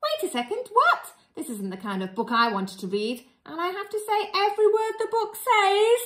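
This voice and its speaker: A woman's voice: extremely high pitch, very animated, moderate pace, a bit bright, pitched above the performer's own voice, heavily acted. A woman playing an indignant, pleading grown-up forced to read a silly storybook aloud. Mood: indignant protest. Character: exasperated grown-up reader forced to say silly things. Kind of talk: expressive picture-book read-aloud.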